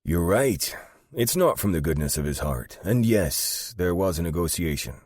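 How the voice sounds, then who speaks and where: Man playing a wry gang associate, frank, amused, raised pitch, dry audiobook recording. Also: very animated, normal speed, darkish tone, dry recording.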